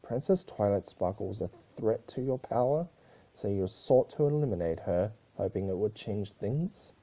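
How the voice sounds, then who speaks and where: A man's voice: fairly low pitch, lively intonation, moderate pace, mellow, poor audio. A man voicing a stern, grieving pony princess with a grave, accusatory, declamatory delivery in an amateur story reading. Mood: stern contempt. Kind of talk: amateur dramatic reading of fan fiction.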